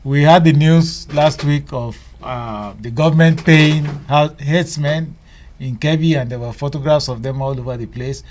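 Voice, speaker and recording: Halting radio host, higher man's voice. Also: fairly even intonation, darkish tone. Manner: halting, many fillers and restarts. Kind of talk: casual radio talk.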